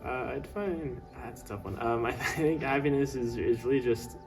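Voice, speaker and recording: A man's voice: mid-range voice, normal speed. Hesitant young man, distant mic. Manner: hesitant, stammering. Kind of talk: documentary interview answers. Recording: distant mic with music underneath.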